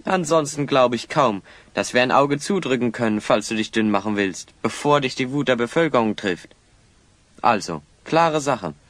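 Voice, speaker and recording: A man's voice: medium pitch, lively intonation, normal speed, a bit bright, dry recording, lightly acted. A man playing a resolute, fair-minded hero in a children's radio play, speaking in a firm, articulate, commanding way. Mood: stern.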